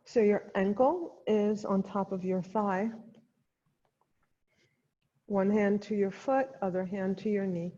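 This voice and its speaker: A woman teaches chair yoga with slow, gentle step-by-step instructions and pauses between cues. Her voice is soft-edged and mellow. The sound carries a long hall reverb. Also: medium pitch, highs cut off.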